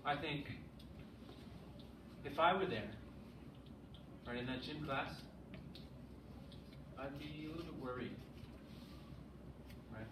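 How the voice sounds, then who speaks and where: A man's voice: on the high side, takes it slowly, pauses often. Man teaching, echoey and far from the mic. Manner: questioning, checks understanding with frequent "right?". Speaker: sixth grade English teacher. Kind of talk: classroom lesson with read-aloud. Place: classroom. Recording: echoey, noisy room, distant mic.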